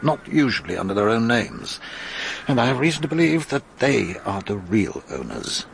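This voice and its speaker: An actor playing a patient, courteous senior police detective in a radio drama, measured and gently probing. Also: male voice, medium pitch, big pitch swings, creaky. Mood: thoughtful, shrewd. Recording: noisy archive radio drama recording.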